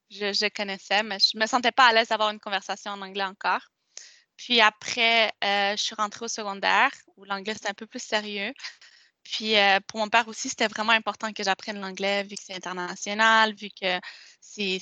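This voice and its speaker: A woman's voice: medium pitch, pushed and bright, dry recording. A nineteen-year-old woman from Montreal with a Québécois French accent, telling her story in a hesitant, casual way with many restarts and fillers. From Montreal, Quebec. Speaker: interviewee.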